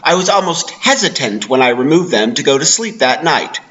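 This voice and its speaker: A man's voice: a little high, lively intonation, moderate pace, a bit bright, highs cut off. A man narrating a first-person horror story as a dramatic reading. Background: background music.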